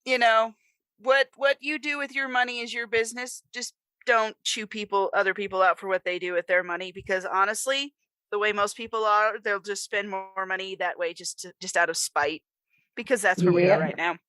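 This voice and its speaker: A woman's voice: medium pitch, fairly even intonation, normal speed, sharp tone, dry recording. An American woman co-hosting a podcast in casual chat, with folksy turns of phrase. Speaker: podcast co-host.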